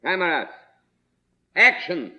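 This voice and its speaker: An actor playing a devoted servant who directs like a film-maker, commanding and solemn, in 1950s radio drama style. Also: male voice, a little high, very animated, slow. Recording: dull old radio broadcast, crowd behind. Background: crowd.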